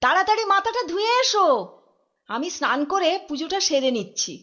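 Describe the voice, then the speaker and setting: A woman voicing an excitable, fussy village housewife in a comic cartoon, shrill and exclamatory. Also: brisk, lo-fi sound, exaggerated voice. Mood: shocked disgust. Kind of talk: Bengali comic cartoon voice acting. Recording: very loud music behind the voice.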